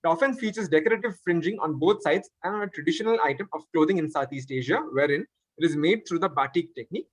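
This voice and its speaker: A man's voice: a little high, quick pace, slightly rough, no room sound. A man hosting a quiz, reading out questions and confirming answers. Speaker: quiz master. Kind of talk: reading quiz questions aloud, with spoken asides.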